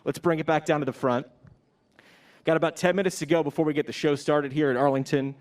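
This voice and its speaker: A man hosting a live-stream pre-ceremony show outdoors, interviewing graduates with energetic, joking questions he often repeats. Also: a little high, quick pace.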